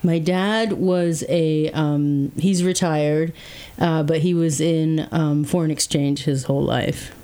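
A woman's voice: low-pitched, steady tone, takes it slowly, mellow, clear, resonant tone. A woman guest in a casual interview, talking reflectively with many fillers like "like" and "um", with faint background noise. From England.

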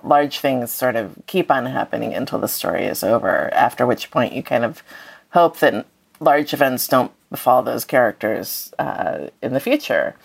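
A woman's voice: a bass voice, gravelly. A woman speaking as a guest author in a thoughtful interview, hesitantly searching for her words.

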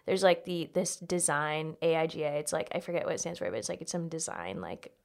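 A woman's voice: deep voice, a little fast, vocal fry. A young American woman telling personal stories in a casual chat, informally, peppering her sentences with "like" and swearing freely. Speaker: podcast guest, comedian.

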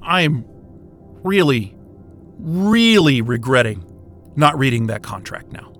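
An actor playing an irreverent, wisecracking astrophysicist in a sci-fi audio drama, with a sarcastic, informal and exasperated way of talking. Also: male voice, medium pitch, sing-song, slow, fairly bright tone, faint music behind, heavily acted. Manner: sarcastic, informal, hesitant muttering and outbursts.

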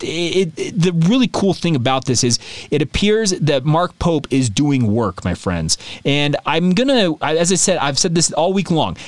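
A man hosting a solo sports podcast, talking in a chatty, animated way straight to his listeners. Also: on the high side, no room sound.

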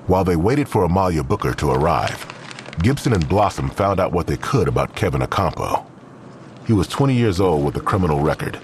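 A man's voice: sits low, slight background noise. A man narrating a scripted crime audio drama as its storyteller.

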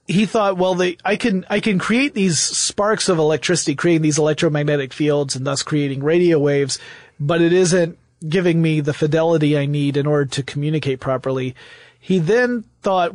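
A man's voice: a little high, no echo, clean studio sound. A man hosting a podcast, explaining technology history in conversation with enthusiasm and hypothetical examples. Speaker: podcast host.

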